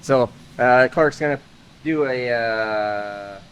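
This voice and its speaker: A man talks through his car work in a relaxed, wandering way, full of pauses and fillers. His voice sits in an ordinary middle pitch. A faint engine hum runs under it. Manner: rambling, easygoing, lots of "uh" fillers. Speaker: host of a car-building channel. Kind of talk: casual garage vlog talk. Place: car workshop.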